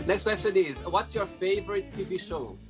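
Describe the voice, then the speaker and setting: A male interviewer with a fairly high voice, speaking English as a second language. He chats casually and enthusiastically, often repeating himself for emphasis. Guitar music plays clearly behind him. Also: animated, slow pace. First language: not English. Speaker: show host.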